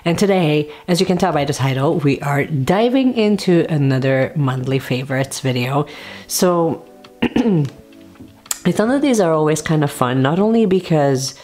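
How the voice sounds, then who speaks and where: A woman with a very deep voice for her sex talks casually through her favourite items as host of a stationery channel. Her delivery is chatty and conversational, and her voice is still recovering after she lost it. Music plays behind her. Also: animated, a little slow, a little mellow. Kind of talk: casual product showcase to camera.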